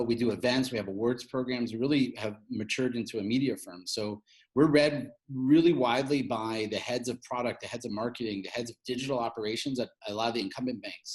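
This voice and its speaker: A man's voice: medium pitch, poor audio. A man speaking as an interview guest, explaining his field in an informal, conversational way. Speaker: interview guest, fintech media founder.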